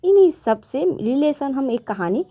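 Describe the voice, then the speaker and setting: Woman storyteller, conversational narration, self-corrections, high animated voice. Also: normal speed. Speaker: storyteller host.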